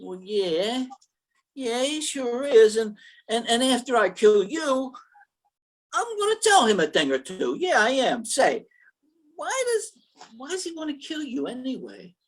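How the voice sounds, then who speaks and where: A dim-witted gangster gunman from an old-time radio thriller, acted out by a woman, speaking in a high, swooping voice. The delivery is slow and stammering, that of an insecure, gullible crook who keeps hesitating. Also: big pitch swings, low-quality recording. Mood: hesitant, gullible. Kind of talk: 1940s radio comedy-thriller acting.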